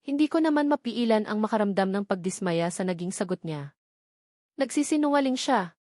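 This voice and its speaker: A woman's voice: mid-range voice, expressive, fairly quick, a little mellow, no room sound, studio quality. A woman reading a first-person romance novel as a scripted audiobook narration.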